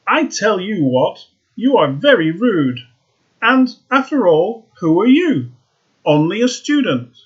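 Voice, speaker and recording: Snobbish young lady, raised pitch, dismissive, a man putting her on; dull lo-fi audio. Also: very animated, takes it slowly, slightly rough, exaggerated voice. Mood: haughty. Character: vain haughty young woman, professor's daughter.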